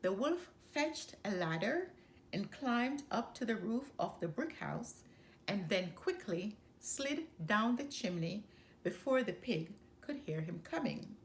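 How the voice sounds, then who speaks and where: A woman reading a children's story aloud as an expressive storyteller. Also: medium pitch, slow pace.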